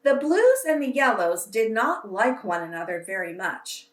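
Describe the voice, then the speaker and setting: A woman reading aloud to children as a storyteller, with a deliberate, simple delivery. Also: fairly high pitch, very animated. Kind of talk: children's picture book read-aloud with casual introduction. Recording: roomy echo, distant microphone.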